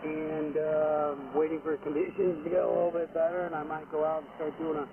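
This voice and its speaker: A man's voice: on the high side, level tone, brisk. A man from the United States chatting casually over ham radio from outdoors, hesitant and rambling as he goes. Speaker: amateur radio operator calling in. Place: outdoors, front yard portable radio setup. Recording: distant, tinny radio link with loud static.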